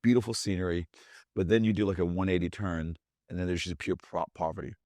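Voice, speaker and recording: A man in his forties, born in Brazil and native in Portuguese, telling his life story as an interview guest, animated, with frequent restarts. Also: low voice, fast talker, dry recording, clean studio sound. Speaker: guest, business owner.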